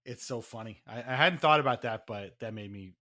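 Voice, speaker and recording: A man's voice: mid-range voice, a little fast, bright, forceful tone. A man co-hosting a sports podcast in a casual, wry and amused manner. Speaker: podcast co-host. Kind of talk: casual hockey fan chat with mock-dramatic readings.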